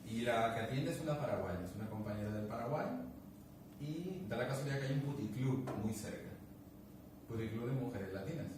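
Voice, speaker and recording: A man's voice: medium pitch, expressive, a little fast. A man in casual conversation, telling anecdotes and acting out the dialogue, with many restarts. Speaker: guest speaker. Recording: echoey room, distant mic, background noise.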